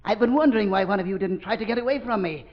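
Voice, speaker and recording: A dull, vintage radio recording carries a high male voice. It is an actor as a sadistic villain doctor from a 1930s thriller, savoring his phrases with gloating, cold-blooded courtesy. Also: natural voice. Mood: mocking. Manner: gloating, theatrically polite, drawn-out phrasing.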